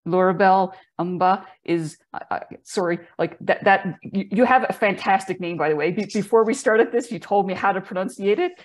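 A woman from Newfoundland hosting a podcast interview from her home office, speaking haltingly with repeated words and plenty of enthusiasm. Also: medium pitch. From Newfoundland, Canada. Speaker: podcast host. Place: home office with bookshelves, indoors. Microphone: video call with earbuds.

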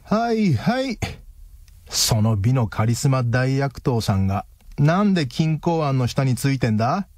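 An actor playing a ruthless, sardonic man in a radio drama, deadpan and commanding. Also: medium pitch, unhurried, no room sound, studio quality. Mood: dry, sardonic. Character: cool, ruthless, sardonic man blackmailing a villain.

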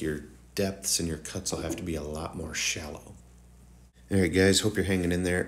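A man with a slightly nasal, congested morning voice giving a casual, rambling tutorial voice-over straight to viewers. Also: low voice. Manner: informal, rambling, addresses viewers directly. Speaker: host of woodworking tutorial channel.

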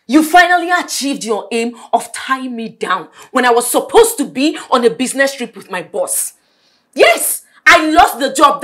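Very high-pitched, defiant young woman. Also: exaggerated voice. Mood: bitter sarcasm. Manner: forceful, repeats phrases emphatically. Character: furious, defiant young woman confronting an abusive household. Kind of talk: heated film drama acting.

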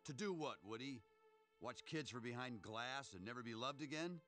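A man's voice: medium pitch, expressive, moderate pace, bright, forceful tone, soft background music. A man playing a firm, heroic toy space ranger in a storybook recording. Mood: urgent, scornful.

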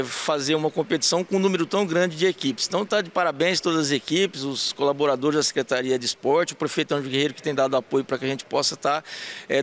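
Gravelly Brazilian official, halting answers. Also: male voice, a little high, a bit bright. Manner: halting, many fillers and restarts. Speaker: municipal sports secretary. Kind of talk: TV news interview answers. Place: indoors in front of a printed backdrop. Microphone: handheld reporter mic held close.

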